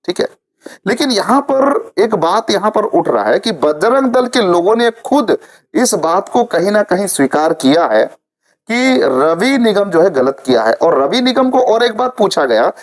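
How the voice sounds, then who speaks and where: A man's voice: high voice, very animated, measured pace. A man presenting informal news commentary to viewers, repeating phrases for emphasis.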